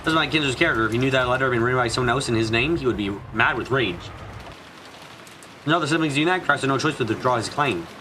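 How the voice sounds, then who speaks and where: A man's voice: mid-range voice, fast, background noise. A man narrating a mystery visual novel as a streamer, with slightly congested, mushy diction from a cold. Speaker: let's play streamer reading a visual novel. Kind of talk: dramatic narration of a story game.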